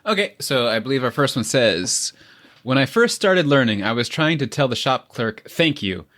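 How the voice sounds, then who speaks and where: A man's voice: medium pitch, animated, normal speed, a bit bright. A man co-hosting a casual podcast, chatting and sharing anecdotes in a conversational way.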